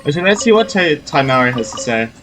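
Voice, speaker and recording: A man's voice: a little high, very animated, quick pace, fairly bright tone. A young man giving casual playthrough commentary, reacting in short spontaneous bursts and musing to himself. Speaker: gaming streamer. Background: game music.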